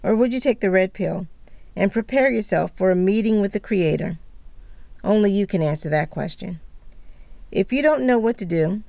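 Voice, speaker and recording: A woman co-hosting a religious podcast, reading a script in a calm, measured way. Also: mid-range voice, vocal fry, dry recording. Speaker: podcast co-host.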